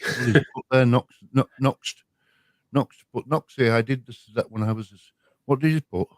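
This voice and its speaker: A man's voice: medium pitch, dry recording. Older west yorkshire guy, mid voice, easygoing chat, dry close sound. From West Yorkshire, near Leeds. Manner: easygoing, down-to-earth, quick to agree. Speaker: livestream co-host. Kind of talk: casual livestream chat.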